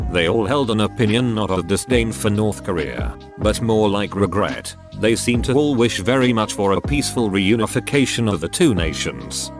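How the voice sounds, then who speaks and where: Male narrator, low-pitched and animated, reading forum posts in a steady scripted way, faint music underneath.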